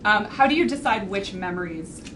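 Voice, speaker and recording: A woman's voice: mid-range voice, expressive, moderate pace. A woman interviewing a guest in a casual, friendly way. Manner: friendly, giggly. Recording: noisy, distant mic.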